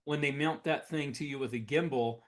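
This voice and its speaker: A man's voice: a little high, brisk. A man co-hosting a podcast in a casual, conversational style. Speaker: podcast host. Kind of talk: casual chat.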